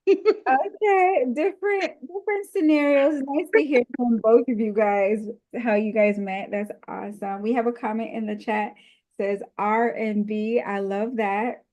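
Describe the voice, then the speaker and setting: Slow-talking woman hosting a live stream. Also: on the high side, fairly even intonation, darkish tone. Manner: laid-back, laughs along. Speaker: host.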